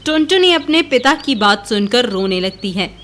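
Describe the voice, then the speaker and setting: A woman's voice: on the high side, animated, normal speed, a bit bright. A woman narrator reading a scripted children's moral story.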